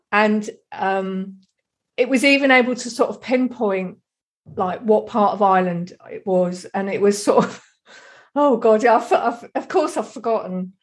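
Middle-aged southern English woman, higher-pitched, chatty and rambling, casual reminiscing. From Kent, England. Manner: chatty, rambling, laughs easily.

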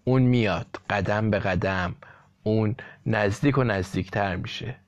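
A man's voice: fairly low pitch, highs cut off, lightly acted. A man voicing a sly farmer who declaims a made-up storybook tale in short repeated phrases. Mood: suspenseful. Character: sly, dramatic male farmer improvising a tale.

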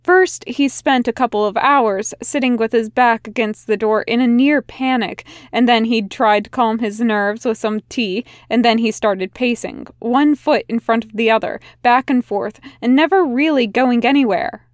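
A woman reading a fan fiction story aloud in a soft, unhurried narration. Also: fairly high pitch, dry recording, studio quality. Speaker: podfic reader.

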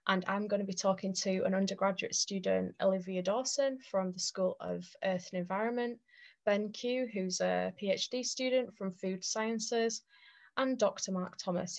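A young woman with a mid-range voice hosts a student podcast. She reads her introduction and questions in a clear, measured way. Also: medium pitch. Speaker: podcast host. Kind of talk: scripted podcast introduction and interviewing.

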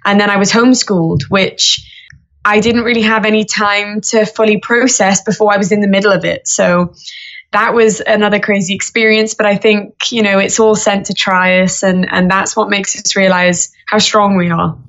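A woman's voice: medium pitch, level tone, moderate pace, fairly bright tone. A young woman from Wales, a singer-songwriter telling her story earnestly and steadily in a podcast interview. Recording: muffled lo-fi audio.

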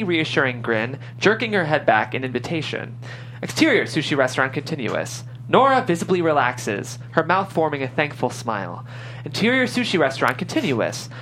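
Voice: medium pitch, fairly bright tone, a little hiss or hum, poor audio. A narrator reading scripted stage directions at a table read.

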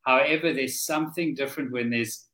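A man's voice: medium pitch, fairly quick, fairly bright tone, roomy sound. A man hosting a podcast interview over a video call, talking in a conversational, thoughtful way. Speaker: podcast host. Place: home studio on a video call.

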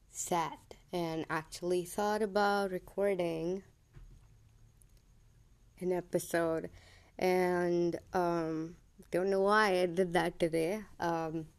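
A young woman from India with an Indian English accent that drifts toward American, hosting her own podcast in a hesitant, personal monologue full of ums. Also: lowish voice. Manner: hesitant, many ums and self-corrections. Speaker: podcast host.

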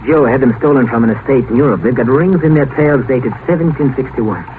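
A man's voice: medium pitch, brisk, natural voice. Brash, cynical doctor played by a man, crackly old radio. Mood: eager, confident. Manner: breezy, sardonic, domineering. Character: brash, cynical male doctor and longevity researcher. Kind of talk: 1950s radio drama acting. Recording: noisy, tinny old 1950s radio broadcast.

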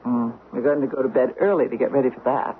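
An actress playing a curious, affectionate wife on vacation in a radio drama, speaking expressively and inquisitively. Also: female voice, a bass voice, steady tone, fast talker. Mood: curious, fond. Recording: tinny, noisy old radio recording.

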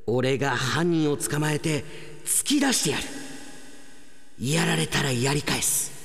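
A man playing an earnest, excitable, indignant male office worker in a melodramatic radio drama. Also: a little high, unhurried, gravelly, slightly rough, heavily acted. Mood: vengeful resolve. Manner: melodramatic, exclamatory, desperately self-justifying.

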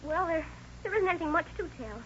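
A woman's voice: very high-pitched, animated, fast, a bit bright. A woman playing a nervous, evasive young girl who hesitates and stammers, in a 1930s radio drama. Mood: frightened, evasive. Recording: muffled noisy old-time radio recording.

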